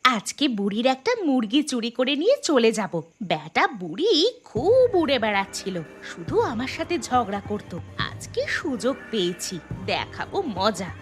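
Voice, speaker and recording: A woman plays a spiteful village thief in a horror cartoon. The voice is very high and exaggerated, brazen in manner, with music behind it. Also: big pitch swings, normal speed, few pauses. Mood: gleeful spite. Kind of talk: cartoon story acting. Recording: music under the voice.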